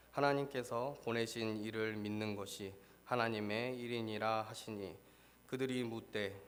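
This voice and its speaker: A man preaching a sermon as pastor, hoarse from a cold, reading scripture and then explaining it earnestly. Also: slight background noise. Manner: earnest, rises in pitch and force when stressing a point. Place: church.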